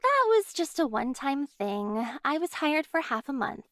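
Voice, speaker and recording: A woman voicing a calm, gentle and slightly aloof female nurse in an anime game. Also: sits high, normal speed, no room sound, clean studio sound. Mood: cool, matter-of-fact.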